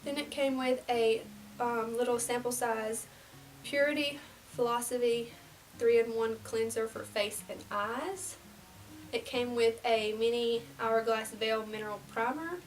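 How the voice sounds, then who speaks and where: A young woman in her twenties, slightly nasal from a cold, chatting casually through a get-ready-with-me beauty vlog and dropping to soft half-whispers as she works. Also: a little high, recorded from a distance, slight background noise, low-quality recording. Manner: casual and rambling, drops to near-whispers while applying makeup. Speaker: beauty vlogger. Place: small indoor room before a plain backdrop.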